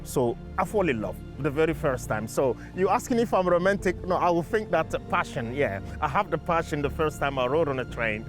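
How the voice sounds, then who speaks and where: A man whose first language is French, talking in an earnest, measured and reflective way as a community campaigner in a documentary interview. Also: fairly high pitch, animated, over music.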